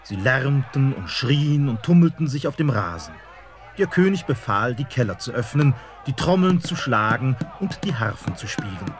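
A man narrating a fairy-tale radio play in an expressive storytelling manner. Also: medium pitch, moderate pace.

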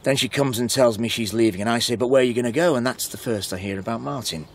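A man in a radio drama playing a wounded, halting, self-deprecating husband in his thirties. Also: mid-range voice, lightly acted. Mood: hurt, bitter. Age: 30s. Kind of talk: naturalistic radio drama acting.

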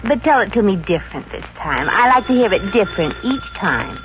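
A woman's voice: mid-range voice, big pitch swings, brisk, natural voice. An actress with an American accent playing a brash, vain, pushy but affectionate girlfriend in a 1950s radio comedy. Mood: cooing, coy. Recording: muffled, noisy vintage radio recording.

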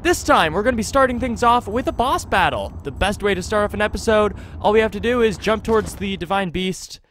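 A man's voice: high-pitched, a little fast, a little hiss or hum. A man doing casual, chatty video game commentary as host of a series. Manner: animated, chatty, self-correcting. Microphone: close desktop USB microphone.

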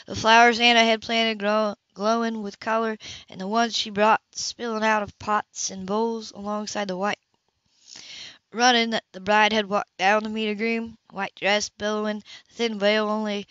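A woman's voice: mid-range voice, a bit bright, smooth, no room sound. Woman reading a novel aloud. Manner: unhurried reading with small restarts. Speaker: audiobook reader. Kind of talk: amateur novel narration. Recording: dry, slightly dull home recording.

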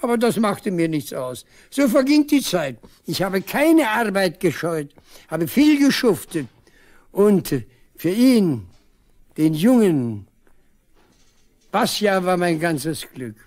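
A man's voice: sits high, big pitch swings, slow, a little mellow, exaggerated voice. An actor playing a proud, talkative old village father in a 1970s East German radio drama, wistful and emphatic. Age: older.

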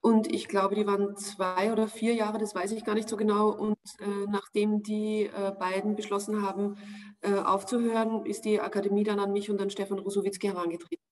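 A woman in her forties with an Austrian accent, an actress giving a video-call interview, pausing often to find her words. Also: mid-range voice, flat delivery. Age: 40s. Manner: thoughtful, searching for words with many pauses. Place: home room indoors.

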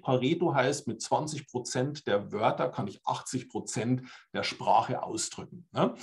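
Forties German male presenter, mid-pitched, webcam talk, explanatory and chatty with tag questions. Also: a little mellow. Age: 40s. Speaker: language trainer. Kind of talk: online conference presentation. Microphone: webcam on video call.

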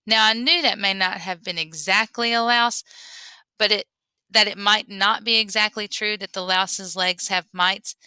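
Woman, bright crisp-edged tone, medium pitch, expressive read-aloud narration. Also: a little slow, no room sound. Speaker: reader.

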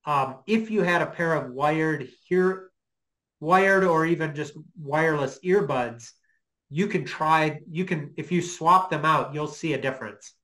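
A middle-aged man giving an informal lecture on a video call, explaining in a hesitant, halting way as he thinks aloud. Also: on the high side, pushed and bright, poor audio. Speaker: guest lecturer. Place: small home office, indoors.

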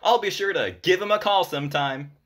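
A man playing an excitable, jovial sports commentator in a comedic radio play, with an animated announcer delivery. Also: sits high, fairly quick.

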